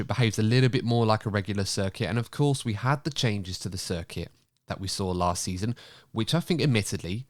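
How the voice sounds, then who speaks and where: Croaky podcast host with a cold. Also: male voice, on the low side, no room sound, clean studio sound. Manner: chatty, upbeat, running the show. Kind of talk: casual podcast chat.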